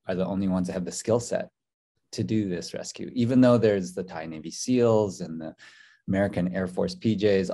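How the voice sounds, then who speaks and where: Low mellow man on a video call. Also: gravelly. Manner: thoughtful, many fillers and restarts. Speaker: documentary co-director. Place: hotel room indoors. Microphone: video call webcam.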